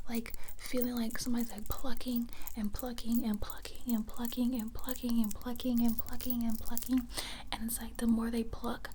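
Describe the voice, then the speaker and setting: A woman tells a story in a breathy ASMR whisper. Her voice sits a little high and stays very even in pitch as she rambles and repeats words. There is faint noise and clicking in the recording. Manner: rambling, repeats words and phrases slowly for effect. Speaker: ASMR creator.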